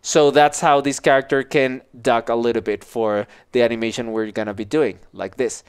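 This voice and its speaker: Man, tutorial instructor, informal step-by-step explaining, crisp resonant voice, headset mic. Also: mid-range voice, steady tone, normal speed. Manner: casual, demonstrative explaining. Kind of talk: informal software tutorial walkthrough. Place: small indoor office. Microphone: headset microphone.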